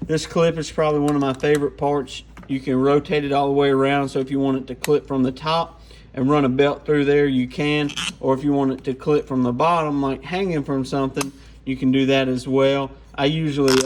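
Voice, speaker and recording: A man's voice: mid-range voice, little pitch movement, normal speed, slight background noise. A man giving an informal, off-the-cuff hands-on product walkthrough. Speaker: radio gear reviewer.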